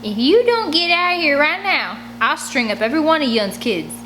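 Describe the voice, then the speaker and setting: A snarling outlaw woman from the backwoods played by a female narrator in a storybook reading. She bullies and threatens, her voice pushed up above the reader's own, over a clearly noisy recording. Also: high voice, sing-song, normal speed, a bit bright, voice pushed up. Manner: snarling, bullying.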